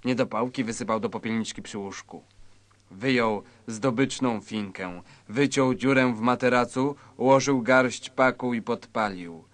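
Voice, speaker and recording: A male narrator reads a satirical story at an unhurried pace. His voice is medium in pitch, with a measured, mock-solemn delivery and a very dry sound. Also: no room sound.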